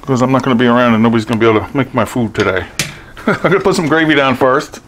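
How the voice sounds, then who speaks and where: An older man talks through a meal he is making in his home kitchen, casual and upbeat, chuckling as he goes. His voice is of middling pitch, picked up on a clip-on mic. There is a clear hum of noise behind him. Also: fast, a bit bright. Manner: chatty, upbeat, chuckling often. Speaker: cooking vlogger. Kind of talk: casual cooking demo. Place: home kitchen, then pickup truck. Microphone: lapel mic.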